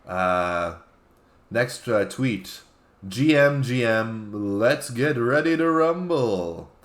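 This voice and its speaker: A man co-hosting a casual comedy talk show, phrasing things in a halting, deliberate way. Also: mid-range voice, lively intonation. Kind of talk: casual comedic chat, reading out tweets.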